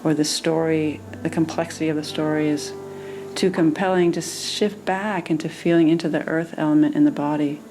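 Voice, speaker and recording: A woman meditation teacher leading a guided meditation in a soothing manner, with gentle pauses between phrases. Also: sits low, steady tone, a little hiss or hum.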